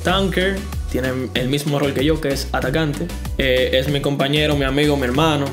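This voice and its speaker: A young man, an esports player, speaking calmly and matter-of-factly in a documentary interview. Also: mid-range voice, brisk. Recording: background music under voice.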